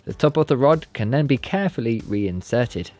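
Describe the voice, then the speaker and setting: A man's voice: medium pitch, lively intonation, moderate pace, a little mellow. A man giving scripted, step-by-step DIY tutorial narration in a careful, politely instructional manner. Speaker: presenter of a DIY build tutorial.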